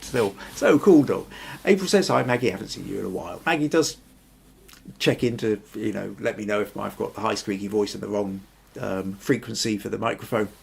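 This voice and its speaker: A man's voice: on the low side, brisk, vocal fry, a little hiss or hum. An older man hosting a photography podcast from a small home room, chatting in a thoughtful, rambling way and reading out viewer comments. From Scotland. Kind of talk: casual live-stream chat.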